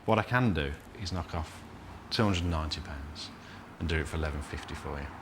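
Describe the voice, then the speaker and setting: A man selling rings bargains in an easygoing, joking way. His voice is very deep. He is heard from a little way off the mic, with a faint hiss underneath. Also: fairly quick. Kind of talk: casual sales talk.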